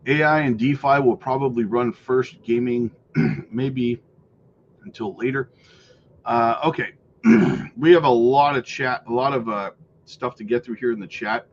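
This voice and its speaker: A man's voice: mid-range voice, unhurried, muffled recording, slight background noise. A man hosting a casual crypto livestream, rambling through viewer comments with many fillers while losing his voice.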